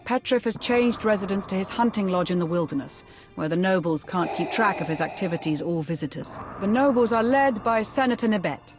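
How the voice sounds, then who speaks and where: A woman's voice: fairly low pitch, lightly acted. A woman voicing a composed, slyly menacing Sith lord in a video game, cool, formal and articulate.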